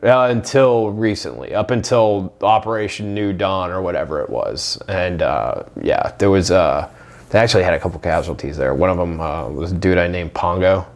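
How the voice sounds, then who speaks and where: A man's voice: deep voice, normal speed. A man co-hosting a podcast, chatting casually in a slangy, profane way and telling anecdotes. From Europe, partly grew up there. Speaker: podcast co-host; military veteran. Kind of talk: casual chat.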